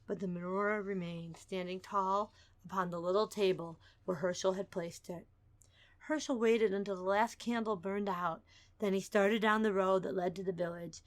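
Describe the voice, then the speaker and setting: A woman's voice: mid-range voice, fairly even intonation, normal speed. A woman narrating a storybook as a dramatic reading, theatrical and emphatic, building suspense.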